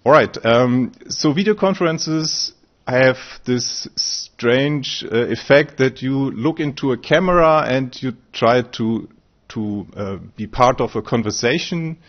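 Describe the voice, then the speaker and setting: A man from Austria gives a research talk at a conference, pausing often and restarting his sentences. His voice sits at an ordinary middle pitch. The recording sounds slightly dull and muffled. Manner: hesitant, many fillers and restarts. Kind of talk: conference presentation. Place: conference room.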